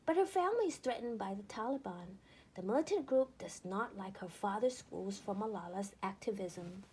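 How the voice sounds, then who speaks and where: A woman narrating a children's book aloud in an expressive, deliberate storytelling manner with emphatic phrasing. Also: medium pitch, a little hiss or hum, rough recording.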